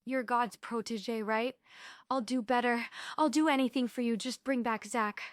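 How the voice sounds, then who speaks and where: A woman's voice: fairly high pitch, moderate pace, no room sound, clean studio sound, close to an everyday voice. A woman playing a yearning, stubborn, emotional heroine in a script read of a musical stage play. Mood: desperate, stubborn.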